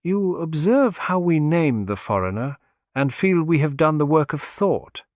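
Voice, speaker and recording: A man voicing a shrewd, courteous male detective who speaks in a mild, deliberate, philosophical way. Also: fairly high pitch, sing-song, no echo, clean studio sound, pitched above the performer's own voice. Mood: mild, musing.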